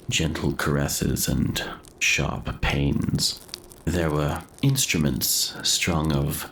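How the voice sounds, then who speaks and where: A man's voice: very deep voice, fairly bright tone, slight background noise, heavily acted, low-quality recording. An actor playing a doomed grave robber narrating his confession in a gothic horror audio drama, with a grave, florid, brooding manner.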